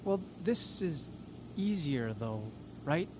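Exasperated young man, acted, animated delivery. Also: on the high side, takes it slowly, background noise. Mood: dejected. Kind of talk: comedic audio drama acting. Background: music.

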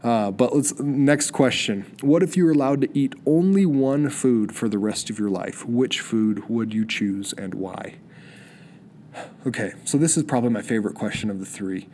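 A man's voice: medium pitch, moderate pace, warm tone. Young man, sniffly from a cold, rambling podcast host, eager, faint background hiss. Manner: rambling, enthusiastic, many restarts. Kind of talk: solo casual podcast monologue.

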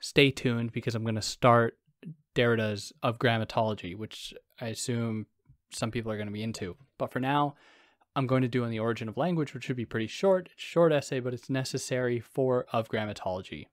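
A man hosting a casual solo podcast, explaining philosophy in a soothing, relaxed, rambling way with self-deprecating asides. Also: medium pitch, a little fast, no room sound, studio quality. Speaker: podcast host.

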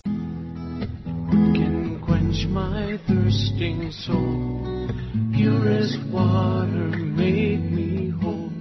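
A woman sings a devotional song at a very slow, drawn-out pace. Prominent plucked guitar accompanies her, and the recording sounds slightly dull. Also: fairly low pitch, fairly even intonation. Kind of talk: singing a devotional worship song. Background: plucked guitar music.

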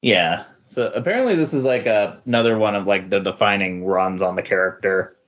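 A man chatting casually as a podcast guest, halting and rambling as he corrects himself. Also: lowish voice, highs cut off. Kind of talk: casual chat about comic books.

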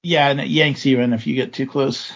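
A man's voice: medium pitch, fairly even intonation, fairly quick, gravelly, a bit bright, husky. A man hosting a gaming podcast, opening the show and reacting with curiosity in casual chat. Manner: curious, reacting as he looks things up. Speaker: podcast host. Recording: lo-fi with music behind.

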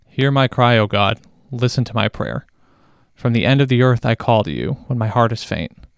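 A man's voice: medium pitch, normal speed, smooth, no echo, clean studio sound. A man reading a psalm aloud as a scripture reader, in a solemn devotional style.

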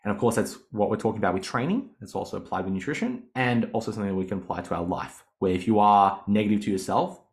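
An upbeat man in his thirties talks casually as a podcast host. His voice sits a little low, and he chats easily, laughs a lot, and often makes fun of himself. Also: fast, few pauses. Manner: chatty, upbeat, laughs often, self-mocking. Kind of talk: casual coaching monologue.

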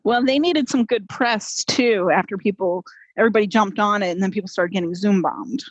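A woman's voice: medium pitch, a little fast, husky, dry recording, lo-fi sound. A woman co-hosting a podcast in casual chat, dry and blunt, on a home mic over a video call. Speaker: podcast co-host.

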